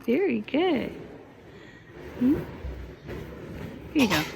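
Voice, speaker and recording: A woman reading a picture book aloud to her small children as their mother, playfully exclaiming and chatting with them between lines in drawn-out, encouraging reactions. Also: high-pitched, sing-song. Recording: noisy home recording with clear background noise.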